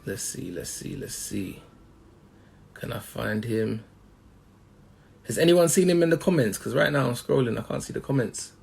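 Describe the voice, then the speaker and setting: A man's voice: medium pitch, lively intonation, moderate pace, vocal fry, long pauses, a little mellow, a little hiss or hum. A man hosting a live-stream interview, chatty and hyping up his audience. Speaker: interview host.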